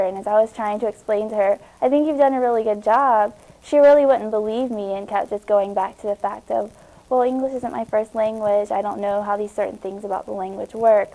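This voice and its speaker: A woman's voice: mid-range voice, warm tone, poor audio. A young American woman, a peer writing tutor, telling a personal anecdote. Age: young adult.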